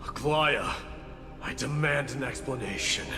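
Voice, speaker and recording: A man's voice: mid-range voice, a little slow, natural voice. A man voicing a solemn, proud young warrior prince weighed down by duty, in an anime-style game. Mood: stern, indignant. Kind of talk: dubbed anime game dialogue. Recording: long reverb, game music behind.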